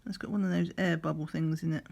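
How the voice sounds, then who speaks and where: A middle-aged woman, slightly croaky, chatting in a rambling way while doing a craft, sometimes counting under her breath. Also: sits low, steady tone, quick pace, darkish tone. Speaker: crafting vlogger. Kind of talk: relaxed chatty craft vlog. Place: at a craft table indoors.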